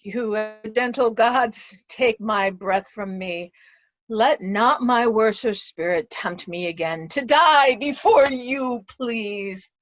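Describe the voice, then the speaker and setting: A woman's voice: fairly high pitch, takes it slowly, dry recording, highs cut off. A woman playing a grieving, blind old earl in readers' theatre Shakespeare, sorrowful and pleading. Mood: despairing, pleading.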